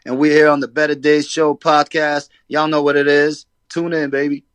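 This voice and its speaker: A man from Sudan, a rapper guest, talking in a relaxed, casual way with hip hop slang. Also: a little high, normal speed, clean tone, dry recording. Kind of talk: casual interview chat.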